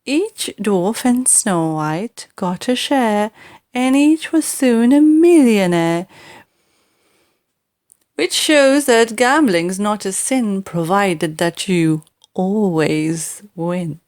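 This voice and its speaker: A woman reading children's verse aloud in an expressive, theatrical, deliberate storytelling style with emphatic delivery. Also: mid-range voice. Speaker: audiobook reader.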